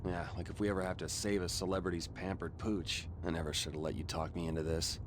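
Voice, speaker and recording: An actor playing a gruff, weary, middle-aged surgeon with a dry, grumbling manner in an animated game drama. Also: male voice, low voice, fast, background noise, close to an everyday voice. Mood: dry, grumpy. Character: gruff, weary, guilt-ridden cynical male surgeon.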